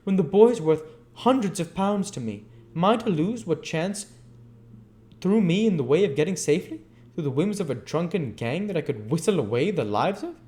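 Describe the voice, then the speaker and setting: A man voicing a scheming old male gang master, oily and coaxing but quick to fury. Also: on the high side, lively intonation, darkish tone, pitched above the performer's own voice. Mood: furious.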